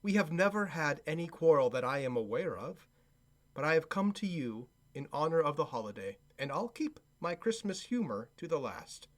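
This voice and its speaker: An actor playing an earnest, kindhearted nephew in a radio play, speaking eloquently and pleadingly. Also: male voice, fairly high pitch, big pitch swings, normal speed. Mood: pleading, sincere. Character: earnest, kindhearted nephew, good-humored gentleman.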